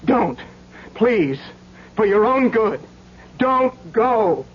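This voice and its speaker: A man's voice: high voice, big pitch swings, extremely slow, full character voice. An actor playing a frantic, pleading man haunted by nightmares, stammering and repeating himself in an old-time radio drama. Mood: anxious pleading. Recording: dull, hissy old radio recording.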